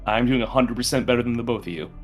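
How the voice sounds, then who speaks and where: A man's voice: medium pitch, very fast, soft background music. A man chatting casually and swearing as a player in a tabletop roleplaying game.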